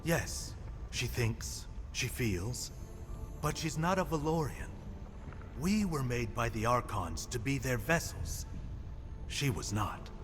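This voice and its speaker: An actor playing a knowing, measured advisor in a fantasy video game, deliberate and theatrical in delivery. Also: male voice, mid-range voice, lively intonation. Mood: measured, grave. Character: measured, knowing advisor of an ancient made race. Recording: long reverb, loud music. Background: game music.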